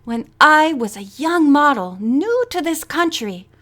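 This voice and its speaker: Woman playing storybook French ex-model in her thirties: high, poised, formal, lightly French-accented. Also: expressive, unhurried, fairly bright tone. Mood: gracious. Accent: faintly French. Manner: formal, poised speech-making.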